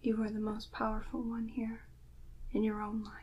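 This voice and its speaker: A woman's voice: a little high, completely flat delivery, a little mellow, slight background noise, natural voice. A woman playing a serene, encouraging mystic priestess from a tarot deck, repeating reassurances in a whispered ASMR roleplay. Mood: serene, reassuring. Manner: slow, repetitive, reassuring.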